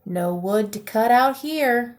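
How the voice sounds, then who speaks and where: A wild, lazy young troll boy who bursts out in loud, high whoops, as a woman reads him aloud. He turns drowsy and content when he gets his way, still pitched above a plain speaking voice. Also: expressive, takes it slowly.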